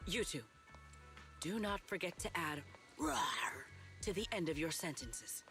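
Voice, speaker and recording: An actress playing a composed noblewoman officer in a game, formal, measured and deadpan. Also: deep voice, faint music behind, full character voice. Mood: commanding. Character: composed commanding noblewoman officer, straight-faced bluffer.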